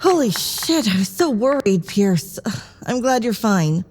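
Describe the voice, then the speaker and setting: A woman's voice: fairly high pitch, sing-song, a little slow, a little mellow. A woman playing a soothing, concerned spacecraft crew member in an audio drama. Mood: relieved.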